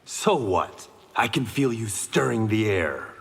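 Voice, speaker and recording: Deep-voiced blind swordsman. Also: big pitch swings, slow pace, creaky, a bit bright, heavily acted. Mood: cool defiance. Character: blind swordsman, defiant, self-assured warrior. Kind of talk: video game fight-intro dialogue. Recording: reverberant hall, faint noise.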